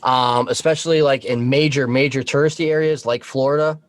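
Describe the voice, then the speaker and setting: A man's voice: medium pitch, steady tone, a little fast, no room sound. A man talking casually as a talk show guest, explaining things frankly and with some swearing. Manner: frank, profane. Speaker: guest on a talk show, Coast Guard member.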